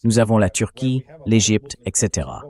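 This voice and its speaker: Lower-pitched man giving emphatic political commentary. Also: big pitch swings, normal speed, mellow. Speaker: political analyst, interview guest. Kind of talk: opinionated political commentary, dubbed translation read by AI voice.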